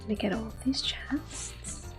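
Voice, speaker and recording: A young woman giving calm, soft-spoken ASMR commentary on a game she is playing, drifting often into close whispers with gentle fillers. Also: a little high, measured pace. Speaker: ASMR gaming host. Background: faint video game music.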